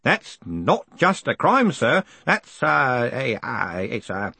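A man's voice: medium pitch, huge pitch swings, takes it slowly, vocal fry, pushed and bright, breathy edge, no echo, pitched above the performer's own voice, heavily acted. A man voicing a fussy, deferential old postal worker in an audiobook, earnest and insistent. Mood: indignant. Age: older. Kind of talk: audiobook character acting.

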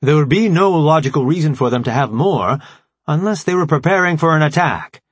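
Man acting as robot AI commander, raised pitch, formal, precise, logical, dry close sound, audiobook character. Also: breathy edge, dry recording. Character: formal robot artificial intelligence leader, measured and logical.

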